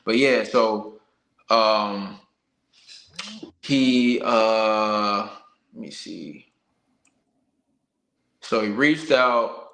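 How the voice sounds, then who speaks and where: A man's voice: fairly low pitch, extremely slow, vocal fry, long pauses, a bit bright. An American man, a boxer being interviewed, telling his own story candidly in colloquial, casual speech with frequent 'you know' tags. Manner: candid, colloquial, peppered with 'you know what I'm saying'. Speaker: professional boxer, podcast guest. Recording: roomy, echoey sound.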